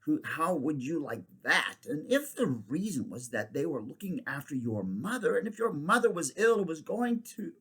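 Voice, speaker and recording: A man reading aloud, voicing a miserable, excitable young boy who tumbles over his words. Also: on the high side, moderate pace, slightly rough, voice pushed up, exaggerated voice. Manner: tumbling, breathless restarts.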